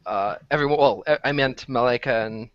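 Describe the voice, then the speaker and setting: Male, 20s, average pitch, hesitant casual talker, muffled call with faint noise. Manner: hesitant, halting. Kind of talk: casual chat.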